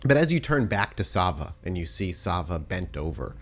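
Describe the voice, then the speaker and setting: A man with a low voice acts as game master for a tabletop roleplaying game. He describes scenes in detail on the fly, often stopping to reword a phrase as he guides the players. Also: sing-song, normal speed, a bit bright. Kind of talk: improvised scene narration and game refereeing.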